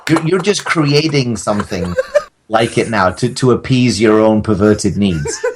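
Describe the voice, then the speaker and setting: A man with a husky voice chatting as a podcast guest, telling rambling comic stories in halting, mock-formal, wordy phrasing. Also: fairly low pitch, lively intonation, noisy background. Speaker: podcast guest, audio drama creator. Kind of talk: comic casual chat, rambling storytelling.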